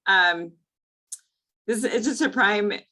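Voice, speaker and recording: A woman's voice: mid-range voice, takes it slowly, bright, forceful tone, low-quality recording. A woman moderating an online panel over a video call, chatty and friendly with frequent fillers.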